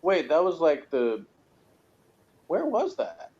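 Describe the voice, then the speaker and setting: A man's voice: fairly high pitch, wildly swooping pitch, takes it slowly, a little mellow, a little hiss or hum. Man chatting casually, slightly muffled sound. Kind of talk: casual chat.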